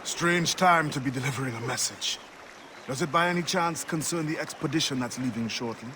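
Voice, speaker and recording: A man performs a determined freedom fighter and seafarer for a video game cutscene. His mid-pitched voice is terse, formal and commanding. Clear background ambience surrounds it. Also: fairly quick, background noise, lightly acted. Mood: dry, guarded. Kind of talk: dramatic game cutscene acting.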